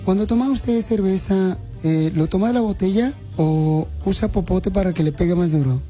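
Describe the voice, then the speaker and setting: A man's voice: high-pitched, moderate pace, dark tone, soft background music. A man hosting a radio prank call, playing a mock-serious interviewer with a teasing edge.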